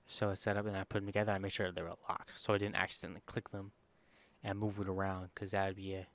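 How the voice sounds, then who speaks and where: A man with a soft, quiet voice that sits a little low talks through a screen-recorded tutorial. He rambles informally and leans on fillers. He often stops mid-phrase to correct himself. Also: little pitch movement, fast talker. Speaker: streamer giving a software tutorial.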